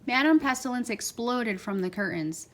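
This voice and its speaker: A woman's voice: a little high, lively intonation, moderate pace. A woman narrating a children's storybook aloud as a parent, expressively stressing key words.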